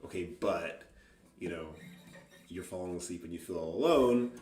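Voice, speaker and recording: Low-voiced man rambling in a roomy space. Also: moderate pace. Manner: rambling, hesitant, self-deprecating. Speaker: amateur singer-songwriter. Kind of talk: casual unscripted music vlog. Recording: roomy, distant mic, faint guitar.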